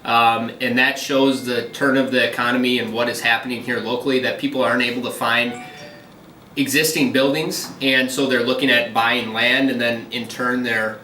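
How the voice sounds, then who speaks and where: Bright-voiced male realtor, distant and echoey. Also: medium pitch, moderate pace, faint music behind. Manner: steady, businesslike explaining with frequent ums. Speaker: commercial realtor. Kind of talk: phone interview.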